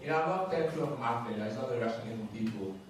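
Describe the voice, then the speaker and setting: A young man from Ghana with a Ghanaian accent performing a stand-up comedy set, loose and laughing, jumping into quick impressions of other people. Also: mid-range voice, animated, slight background noise. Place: comedy club stage, room echoing. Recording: echoey club from far off.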